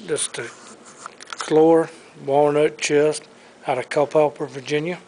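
A man's voice: on the high side, fairly even intonation, slow pace, darkish tone, poor audio. A man giving an auction preview walk-through, naming items one by one in short clipped phrases, recorded on a handheld camera in a large showroom. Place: large indoor auction showroom full of furniture. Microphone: handheld camera microphone.

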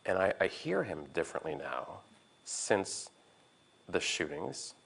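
Very deep-voiced man, halting and thoughtful. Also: sing-song, creaky, fairly bright tone, husky. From United States. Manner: halting, thoughtful, searching for words. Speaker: art historian being interviewed. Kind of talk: reflective conversation.

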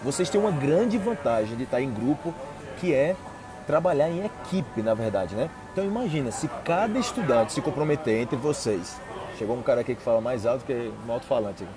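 A young man with a Brazilian Portuguese accent talks to viewers in an easy, chatty way, checking in with "ok?" every few lines. He is recording outdoors on a city street on an earphone mic, with music and street noise clearly audible behind him. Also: medium pitch, expressive, brisk. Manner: casual, repeats "ok?" as a check-in. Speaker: Portuguese teacher. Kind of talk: casual live-stream chat. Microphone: earphone mic on a phone held close.

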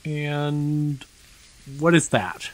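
A woman's voice: low voice, very slow, rough recording. A woman playing an easygoing, wide-eyed, protective young companion in a naturalistic audio drama. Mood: amazed, teasing.

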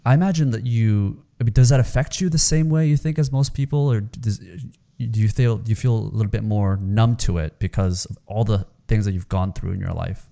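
A man's voice: lowish voice, a little fast, creaky, warm tone. A young man hosting a podcast, asking questions hesitantly into a close studio microphone, often repeating words. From South Korea. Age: young adult. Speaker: podcast host. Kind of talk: interview questions. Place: home room.

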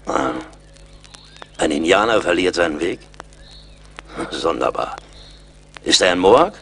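Deep, gravelly frontier woodsman. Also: animated, a little slow, a bit bright, a little hiss or hum, heavily acted. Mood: suspicious. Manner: wry, blunt, commanding. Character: seasoned, blunt, mocking frontier woodsman and scout. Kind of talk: German radio play acting.

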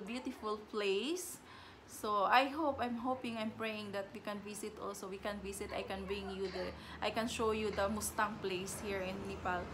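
Filipino woman, 30s, home vlogger, halting chatty delivery, bright tone, faint room noise. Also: medium pitch, rough recording. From Philippines. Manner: halting, restarts often, slow and chatty. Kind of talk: casual vlog chat mixing English and Tagalog. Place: home living room, indoors. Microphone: camera in front, seated.

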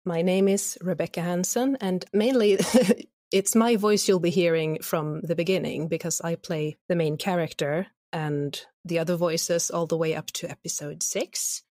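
A woman with a slightly low voice talks casually as an interview guest. Her manner is informal, and the sound is close and dry. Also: fairly even intonation, normal speed, darkish tone, no room sound. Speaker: guest, audio drama writer and voice actor.

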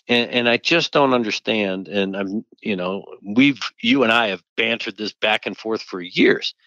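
A man's voice: on the low side, sharp tone, no echo, highs cut off. A man co-hosting an aviation safety podcast, talking emphatically and pressing indignant rhetorical questions. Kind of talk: conversational podcast discussion.

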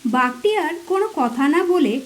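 A woman's voice: sits high, expressive, measured pace, faint music behind. A woman narrating a scripted fairy tale as the storyteller of a cartoon story.

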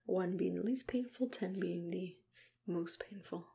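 A young woman in a soft, unhurried whisper, playing a gentle, reassuring dentist in an ASMR roleplay, close to the mic. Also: on the low side. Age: young adult. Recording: clear background noise and room ambience.

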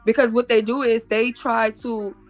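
A woman's voice: on the high side, flat delivery, moderate pace, soft background music. Emphatic woman on a video call. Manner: emphatic, repeats herself, talks in lists. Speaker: guest. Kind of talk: casual explaining on a live stream.

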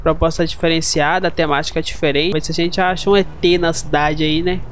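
Higher-pitched young Brazilian man, gaming. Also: flat delivery, fairly quick, a little hiss or hum. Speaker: streamer playing a roleplay game. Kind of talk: casual gameplay chat.

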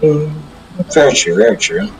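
A man playing a calm, polite, easygoing demon in improvised game roleplay, speaking in a laid-back way with murmured hums. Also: mid-range voice, normal speed, lightly acted, low-quality recording. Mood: mild, easygoing. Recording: faint music behind the voice.

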